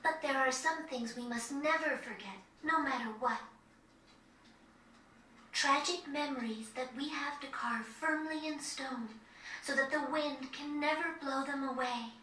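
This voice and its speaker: A principled young noblewoman in a game cutscene. Her high, bright voice is formal and deliberate, polite yet firm. The sound is roomy and slightly noisy. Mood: solemn, resolute. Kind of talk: dramatic video game cutscene acting. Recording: roomy, distant game capture with faint noise.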